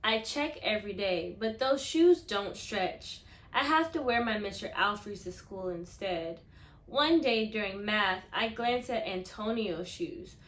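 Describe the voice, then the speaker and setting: A woman reading a children's picture book aloud expressively, acting out the dialogue and pausing to put questions to listeners. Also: mid-range voice, lively intonation, a bit bright, echoey room, rough recording. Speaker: read-aloud storyteller.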